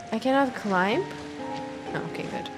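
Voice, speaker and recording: A woman's voice: on the high side, expressive, takes it slowly. A woman game streamer giving casual live commentary, chatty and reactive. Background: game music.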